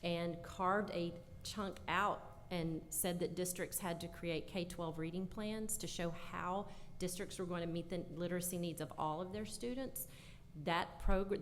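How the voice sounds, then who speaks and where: Woman conference panelist, lowish voice, explanatory and emphatic, reverberant noisy hall. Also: measured pace. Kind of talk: panel answer, explanatory talk. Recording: echoey hall with background noise.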